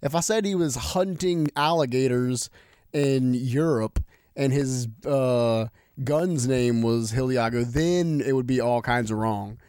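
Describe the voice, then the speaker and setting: A man's voice: mid-range voice, measured pace, darkish tone, smooth, no room sound, clean studio sound. A man co-hosting a podcast, chatting casually and arguing in a joking, rambling way while getting over being sick. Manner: joking, argumentative, rambling with fillers. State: recovering from illness, nosebleed. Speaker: podcast co-host. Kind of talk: casual chat. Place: home room, sitting on the floor.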